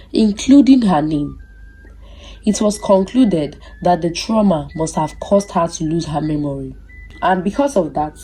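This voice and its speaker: A woman narrating a scripted folktale as a storyteller, in a measured, deliberate and dramatic way. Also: on the low side, dark tone, breathy edge, rough recording.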